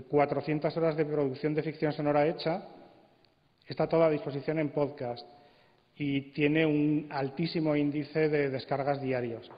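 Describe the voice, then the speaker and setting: Fairly high-voiced man explaining in a hall. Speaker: congress panel speaker. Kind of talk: explanatory panel discussion. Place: large indoor congress hall. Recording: dull, echoey hall recording.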